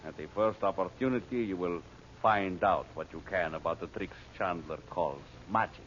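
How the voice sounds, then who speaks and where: An actor playing an imperious, scheming master villain in an old radio serial, speaking in formal, commanding orders. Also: male voice, sits low, moderate pace, fairly bright tone. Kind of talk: 1940s radio serial acting. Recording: muffled, noisy old radio recording.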